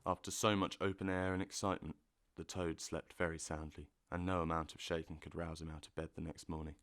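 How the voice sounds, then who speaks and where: A man narrating a classic children's story with measured, expressive delivery on a close mic. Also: rumbling low, no echo. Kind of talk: audiobook narration.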